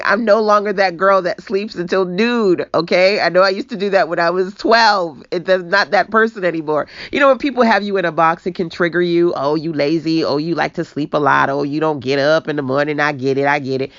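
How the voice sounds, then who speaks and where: A woman from Brooklyn with a deep, raspy voice, giving a chatty motivational talk as a business coach, laughing and quoting people as she goes. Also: fairly bright tone, no room sound. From Brooklyn, New York. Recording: dull lo-fi dry recording.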